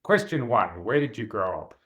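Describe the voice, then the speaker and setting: A man hosting an interview podcast, asking brisk, upbeat questions. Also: fairly low pitch, animated, a bit bright. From Australia. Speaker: podcast host.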